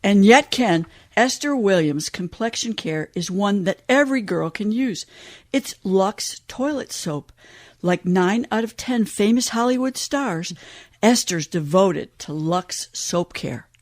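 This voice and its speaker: A woman's voice: medium pitch, expressive, unhurried, dry recording, studio quality. A woman reading a 1940s-style radio commercial as an announcer.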